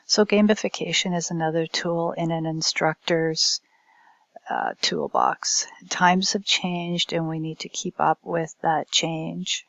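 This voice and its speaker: A woman giving a recorded academic presentation as a language instructor, speaking in a halting, hesitant way. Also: fairly low pitch, steady tone, gravelly, a bit bright, dry recording, muffled recording.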